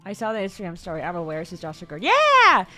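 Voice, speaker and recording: A young woman chatting casually on a livestream. She rambles, repeats and stumbles over words, and her voice sometimes jumps suddenly into high squeaks. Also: huge pitch swings, fast talker, sharp tone. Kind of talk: casual stream chat. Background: faint background music.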